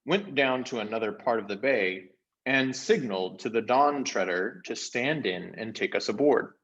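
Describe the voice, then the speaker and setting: Man as a storybook young king, medium pitch, grave, measured, decisive, slightly dull sound. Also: animated, a bit bright.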